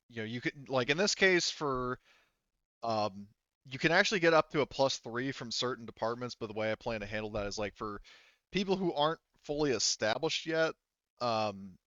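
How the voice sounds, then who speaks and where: A man's voice: medium pitch, sharp tone, no room sound, highs cut off. A man acting as game master, casually talking through tabletop game rules in a rambling, backtracking way.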